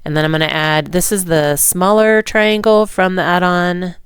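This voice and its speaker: A woman narrating a card-making tutorial in relaxed, rambling steps, hoarse and stuffy from a cold. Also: fairly low pitch, moderate pace, no echo, studio quality. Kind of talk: voice-over craft tutorial.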